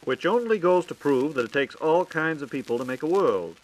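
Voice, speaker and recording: Casting: male 1940s radio host, American, fast-talking, mid-pitched, animated, on a slightly noisy old recording. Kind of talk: scripted 1940s radio hosting.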